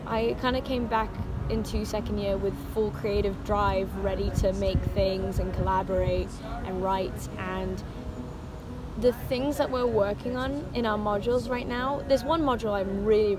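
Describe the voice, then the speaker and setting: A woman's voice: medium pitch, background noise. A woman in her twenties chatting casually to camera as a student vlogger. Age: 20s.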